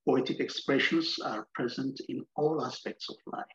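A man from Ethiopia with an Ethiopian accent, Amharic his first language, speaking as a poet at an online poetry event in a halting way with many fillers. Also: mid-range voice, fairly even intonation, slightly rough. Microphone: video call. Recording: dull video call audio.

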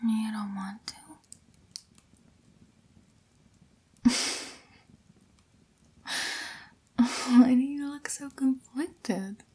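A woman's voice: on the high side, heavily acted. A young woman voicing an affectionate, teasing, playful girlfriend in a whispered, intimate ASMR roleplay. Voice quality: breathy, whispery. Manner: slow, playful, teasing, with sighs and soft chuckles.

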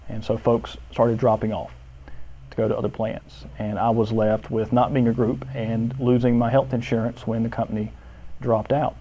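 A man in his thirties speaks an unscripted testimony, pausing often with fillers. His tone is warm and mellow, recorded close on a lapel mic indoors. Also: medium pitch, flat delivery, low-quality recording. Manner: hesitant, many uh fillers. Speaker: seminary professor.

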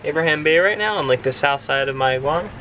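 Man, 30s, sailing vlogger, relaxed casual talk, outdoors on a boat, handheld camera, water noise. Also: on the high side, quick pace. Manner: relaxed, explains sailing plans. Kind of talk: casual narration on a sailboat. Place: outdoors on a sailboat at sea.

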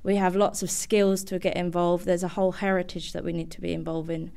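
A woman's voice: on the low side, little pitch movement, fairly quick, gravelly, darkish tone, clear, resonant tone. A young adult woman, a student guest, giving earnest conversational answers in a podcast interview.

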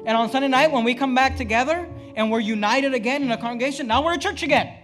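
A high-pitched man in his thirties preaches from the pulpit into a podium mic. His delivery is emphatic and full of rhetorical questions, rising loud and then dropping to a hush, with faint music running underneath. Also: fast. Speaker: pastor. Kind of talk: fiery sermon. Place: church sanctuary at a pulpit.

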